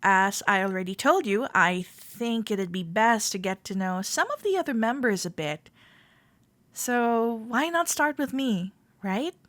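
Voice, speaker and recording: Actress as friendly cuddle club guide: female, slightly high voice, gently teasing, reassuring, close dry roleplay audio. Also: expressive, unhurried, dry recording. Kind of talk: roleplay audio, addressed to the listener.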